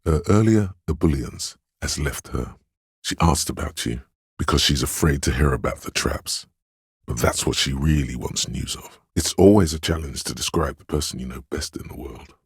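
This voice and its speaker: A sombre, knowing inner voice of empathy for a narrative video game. It is an exceptionally deep male voice, thick with husk and rasp, recorded dry and close. The delivery is measured, gentle and weighty, as if confiding in a troubled detective. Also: expressive, no echo, exaggerated voice. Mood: wistful, sorrowful.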